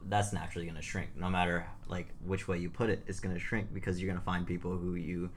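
A man's voice: low voice, level tone, quick pace, slight background noise. A young man co-hosting a casual podcast, thoughtful and full of fillers. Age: young adult. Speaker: podcast co-host. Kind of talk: casual chat.

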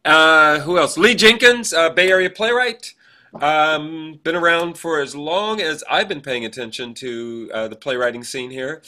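A man's voice: fairly high pitch, measured pace, bright, forceful tone. A man co-hosting a podcast, chatting casually and reminiscing in a rambling, anecdotal way. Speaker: podcast co-host.

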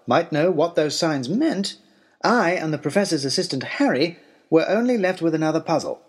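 A man reading a first-person adventure story as narrator, in literary, measured phrasing. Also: fairly high pitch, breathy edge.

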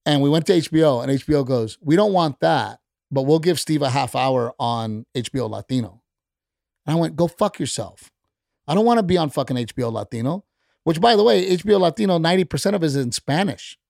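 A man's voice: a little high, expressive, brisk, creaky, darkish tone, dry recording, studio quality. A middle-aged man, a stand-up comedian and podcast guest, talking bluntly and heatedly in casual chat, with plenty of profanity. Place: podcast studio.

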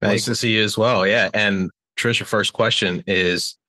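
A man's voice: lowish voice, steady tone, moderate pace, pushed and bright. A man co-hosting a podcast interview, asking light, joking questions. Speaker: podcast co-host.

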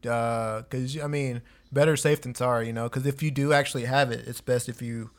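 A man's voice: mid-range voice, fairly even intonation, brisk. A man co-hosting a casual podcast chat, laid-back and advising his friend, with a lot of "man" and "dude".

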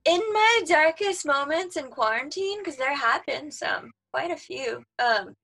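Giggly bright-voiced soprano woman. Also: expressive, measured pace, gravelly. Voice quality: high soprano voice. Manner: giggly, chatty, trails off mid-sentence. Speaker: guest, pop singer. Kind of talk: casual chat.

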